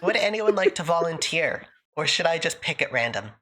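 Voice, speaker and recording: A woman's voice: deep voice, expressive, brisk, crowd noise. A woman hosting a casual group chat on a livestream, teasing her guests and laughing often. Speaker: stream host.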